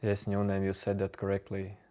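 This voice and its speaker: A man whose first language is Latvian, calmly answering viewers' chat questions on a live stream. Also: low-pitched. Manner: calm, unhurried replies to chat. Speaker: livestream host answering chat.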